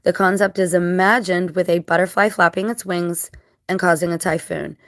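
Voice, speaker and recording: Scratchy-voiced woman vlogger, talking low. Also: fairly even intonation, fairly bright tone, no echo, studio quality. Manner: halting, reflective, earnest. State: scratchy, dry-mouthed after crying. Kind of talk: casual monologue to viewers.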